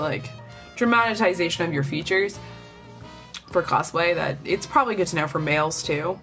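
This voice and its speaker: A young woman chatting casually on a live stream, blunt and profane, rambling with lots of filler words. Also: a bit bright. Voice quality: lower voice than most women. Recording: faint music behind. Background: faint music.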